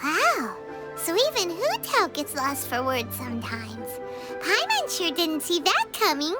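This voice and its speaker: Little fairy-like game companion, extremely high childlike voice, bouncy intonation, excitable, music behind. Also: female voice, measured pace, full character voice. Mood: puzzled, amazed. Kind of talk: video game character acting.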